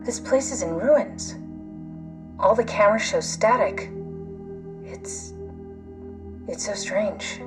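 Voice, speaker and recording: A woman's voice: a little high, big pitch swings, slow pace, pauses often, music in the background. An actress playing a wry, pragmatic woman scientist in a video game, with a matter-of-fact manner. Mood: uneasy, puzzled.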